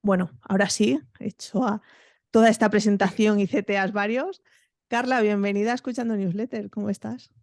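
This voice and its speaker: A woman's voice: mid-range voice, fairly even intonation, darkish tone, no room sound, clean studio sound. Upbeat Spanish woman hosting a podcast. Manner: friendly, upbeat, quick laughs. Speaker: podcast host.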